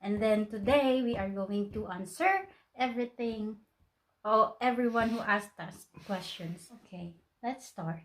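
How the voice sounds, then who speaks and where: A woman's voice: mid-range voice, a little slow. A Filipino woman in her twenties with a Filipino accent, co-hosting a casual question-and-answer chat at home and giggling often while she reads questions aloud. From Philippines. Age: 20s. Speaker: vlogger co-host.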